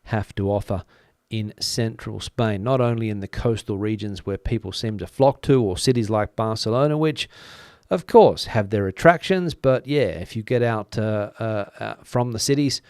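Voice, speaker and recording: Chatty middle-aged man, smooth dry voice. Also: on the low side, darkish tone, dry recording. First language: English. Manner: chatty and rambling, many "uh" fillers. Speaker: news channel host. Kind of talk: casual live-stream commentary. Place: home studio in front of a backdrop picture.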